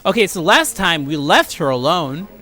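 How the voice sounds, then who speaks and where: A man's voice: a little high, very animated, moderate pace, bright, forceful tone, some chatter behind. A man giving casual, rambling let's play commentary with crude joking asides. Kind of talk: casual game commentary and read-aloud.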